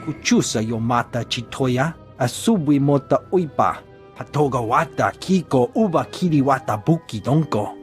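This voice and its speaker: A man's voice: medium pitch, animated, moderate pace, soft background music, natural voice. An actor voicing a talkative male alien engineer in a video game, rattling off syllables in an invented tongue. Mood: chatty.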